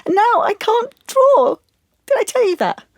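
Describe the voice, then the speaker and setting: An actress playing an anxious, wounded young woman in an audio drama, confiding haltingly and stumbling over her words. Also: medium pitch, a little mellow, no room sound, studio quality, close to an everyday voice. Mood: upset, pleading. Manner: halting, confiding, with stammered restarts. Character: anxious, wounded young woman artist, defensive about privacy. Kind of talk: audio drama monologue and dialogue.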